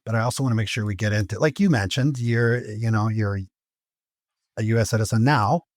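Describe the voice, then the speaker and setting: A man's voice: on the low side, a little fast, no room sound, clean studio sound. A man hosting an interview in a casual, conversational style. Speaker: interview host.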